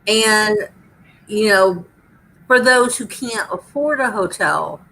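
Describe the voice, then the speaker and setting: A woman's voice: mid-range voice, expressive, takes it slowly, pushed and bright, slight background noise, poor audio. A woman in her fifties in a casual group chat, dropping dry, teasing quips. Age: 50s.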